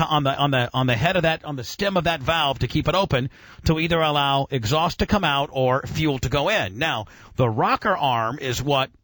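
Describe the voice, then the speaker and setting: A man's voice: a little high, fairly bright tone, no echo. A man hosting a casual radio show, explaining and quizzing with an enthusiastic, profane, teacherly manner.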